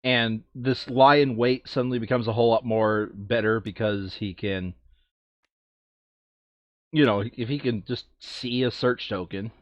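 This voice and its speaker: A man's voice: medium pitch, steady tone, unhurried, no echo, muffled recording. A man chatting casually and thinking aloud while he plays a board game. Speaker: board game player on a playthrough video.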